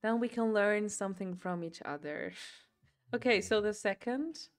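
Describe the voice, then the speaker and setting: A woman's voice: medium pitch, normal speed. Chatty young woman. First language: German. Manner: chatty, rambling, filler-heavy, laughs often. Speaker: livestream host. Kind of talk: casual chat while crocheting.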